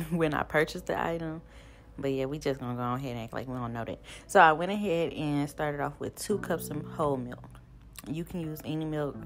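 Casual young woman chatting over music. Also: sits low. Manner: relaxed, rambling, laughs at herself. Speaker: vlogger. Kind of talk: casual cook-along chat and voiceover. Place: home kitchen, indoors. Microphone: camera on the counter. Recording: voiceover with clear background music.

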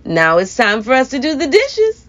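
A woman's voice: sits high, wildly swooping pitch, moderate pace, a bit bright, muffled recording, pitched above the performer's own voice, heavily acted. A woman putting on a sweet doting grandma. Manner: sweet, coaxing. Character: warm, sweet grandmother fussing over her grandson.

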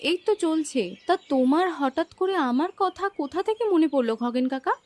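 A woman's voice: high-pitched, expressive, normal speed, darkish tone. A woman playing a kind, loyal, inquisitive young village woman in a cartoon, earnest and questioning. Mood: curious. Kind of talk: cartoon voice acting.

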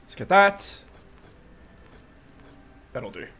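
A young man hosting a casual gaming video, sounding nasal from a cold, chatty and relaxed with lots of fillers. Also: high voice, extremely slow. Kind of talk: casual gameplay commentary.

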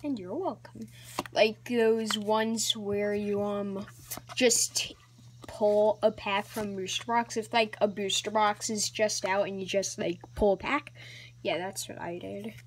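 A woman hosting a casual card-opening vlog, talking in a hesitant, rambling way with many ums and restarts, sometimes dropping to a soft whisper. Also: mid-range voice, steady tone, unhurried, a little hiss or hum. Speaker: channel host.

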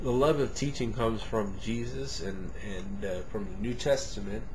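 A man in his thirties, a native English speaker, gives an interview in halting Spanish and English, with many fillers and restarts, gesturing as he talks. He is recorded on a webcam in his home kitchen. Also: on the low side, noisy background. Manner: gestures animatedly while talking. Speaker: interviewee, musicology professor. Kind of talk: casual on-camera interview. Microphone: webcam at desk distance.

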